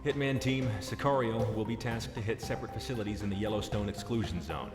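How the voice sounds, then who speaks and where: A man playing a grave, steady military officer giving a scripted video game mission briefing. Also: on the low side, fast, close to an everyday voice. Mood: businesslike. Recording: echoey hall reverb, loud music behind.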